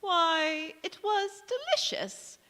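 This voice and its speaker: A woman's voice: extremely high pitch, very animated, slow pace, pushed and bright, heavily acted. A woman playing a doting, condescending older mother-in-law in 1940s radio comedy, cooing and mock-polite. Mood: sweetly catty. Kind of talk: 1940s radio comedy acting, staged revival. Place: community theatre stage in a church. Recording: echoey hall, long reverb.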